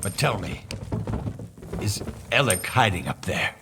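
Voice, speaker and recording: A man's voice: lowish voice, very animated, measured pace, background noise. A man playing an earnest noble baron in a video game, commanding and solemn. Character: earnest noble baron leading rescue of his people.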